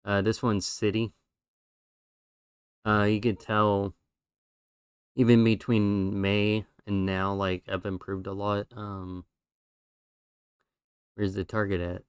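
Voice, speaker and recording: Low, flat-voiced male streamer. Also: takes it slowly, pauses often, clear, resonant tone, dry recording, clean studio sound. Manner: relaxed, rambling with fillers. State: losing his voice. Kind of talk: casual chat showing his drawings.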